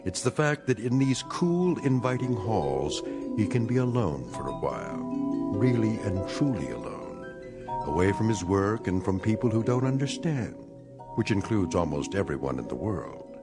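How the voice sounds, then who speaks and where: A man acting as a grave, knowing storyteller, narrating an eerie radio drama in a measured, ominous way. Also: fairly low pitch, big pitch swings. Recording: prominent music behind a radio drama voice.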